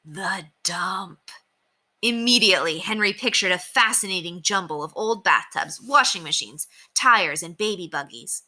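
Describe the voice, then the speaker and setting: A woman reading a children's book aloud as narrator, expressive and emphatic. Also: fairly low pitch, measured pace. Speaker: storybook reader.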